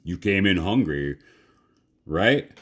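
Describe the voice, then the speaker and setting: A man's voice: low-pitched, animated, slow, fairly bright tone, full character voice. A man reading a storybook and voicing a big, domineering diner owner, blunt, insistent and confrontational. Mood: menacing, insistent. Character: large, menacing, domineering male diner owner and cook.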